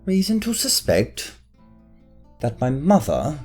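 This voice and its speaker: A man's voice: a little high, wildly swooping pitch, slow, darkish tone, slightly rough, faint music behind, full character voice. An actor playing a spoiled, arrogant nobleman who talks in a haughty, self-pitying way. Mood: petulant. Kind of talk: fan audio drama acting.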